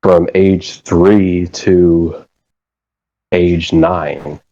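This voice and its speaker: A man from the United States, a guest on a casual phone call, talking in a hesitant, halting way. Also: deep voice, fairly even intonation, warm tone, dry recording.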